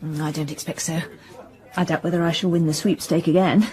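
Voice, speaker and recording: An actress playing a frank, curious young English hairdresser in a radio drama, with an English accent and a matter-of-fact manner. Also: female voice, sits low, steady tone, fairly quick, dark tone, a faint crowd, close to an everyday voice. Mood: polite, curious.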